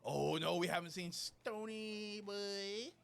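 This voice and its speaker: A man's voice: high voice, takes it slowly, vocal fry, clean tone. A man commentating an esports match as casual banter, in a wry, joking, slangy way. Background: background music.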